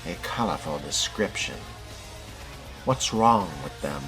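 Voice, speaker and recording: A man voicing a guarded fledgling vampire in a video game role-play, with dry, deliberate, sardonic delivery. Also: low-pitched, lively intonation, over music, rough recording. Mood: curious, dry.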